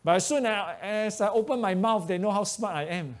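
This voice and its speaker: Malaysian guy telling his testimony at church, choppy english, fairly high voice. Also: fairly quick. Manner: broken English, animated storytelling. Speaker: preacher giving testimony. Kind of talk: testimony sermon.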